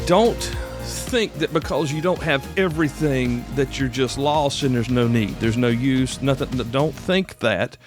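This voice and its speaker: An American man of about fifty hosting a podcast solo, talking casually in a folksy, rambling, reassuring way. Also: mid-range voice. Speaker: podcast host.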